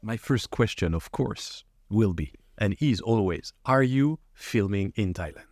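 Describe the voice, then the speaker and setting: A man with a fairly low voice hosts a podcast interview, asking long questions and rephrasing them several times until the point lands. The sound is dry and close to the mic. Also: lively intonation, a little slow, darkish tone, dry recording. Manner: probing, rephrases questions at length. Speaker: podcast host.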